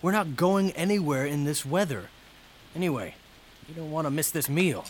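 Guy playing a chatty bookish codebreaker in a radio play, super animated, bit hesitant, noisy ambience behind him. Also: on the high side, normal speed, natural voice. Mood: cheerful, fussy. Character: chatty, eager scholarly man, fussy amateur codebreaker. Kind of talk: radio drama acting.

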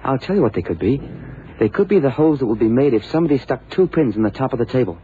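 A tinny, faintly noisy old radio recording of a man acting a sceptical male sidekick in a detective drama. His voice is mid-pitched and lively in its swings, with a colloquial, incredulous, plain-spoken delivery. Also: fast, close to an everyday voice. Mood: puzzled reasoning.

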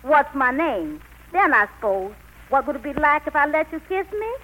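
A woman's voice: high-pitched, very animated, normal speed, pushed and bright, full character voice, poor audio. A woman playing a sassy, brazen young gal with a Southern US accent and a mocking manner, in 1940s radio drama style. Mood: sassy, defiant. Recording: vintage 1940s radio broadcast.

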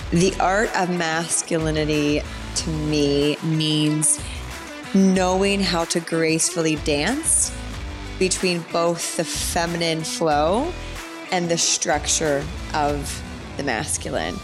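Slow, low-voiced calm woman. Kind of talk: reflective sound bite. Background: music.

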